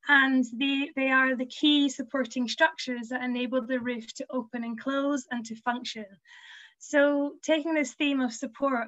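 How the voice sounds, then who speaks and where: A woman's voice: a little high, a bit bright. A young woman giving a conference presentation, earnest and explanatory with frequent ums, her voice a little worn. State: losing her voice. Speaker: museum community learning officer. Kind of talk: conference presentation over slides. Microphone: video call webcam. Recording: dull lo-fi video call audio.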